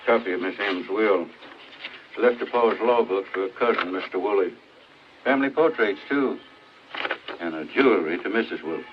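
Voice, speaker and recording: A small-town doctor, acted by a man in a 1940s film drama. He has a very deep voice and speaks in a measured, plain way. The soundtrack is muffled with faint hiss. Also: close to an everyday voice. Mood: businesslike. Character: matter-of-fact small-town Southern male doctor.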